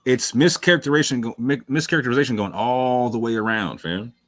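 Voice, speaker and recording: A man's voice: medium pitch, fast, fairly bright tone, no room sound, clean studio sound. A male talk show host giving long, emphatic commentary late in a livestream. He repeats phrases for stress, calls listeners fam, and sounds worn from hours on air.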